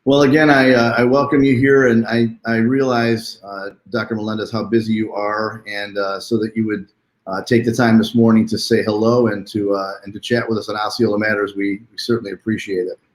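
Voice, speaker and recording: A man's voice: lowish voice, level tone, a little fast. A man hosting a live online interview in a chatty, welcoming, conversational way, with a roomy sound. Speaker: host.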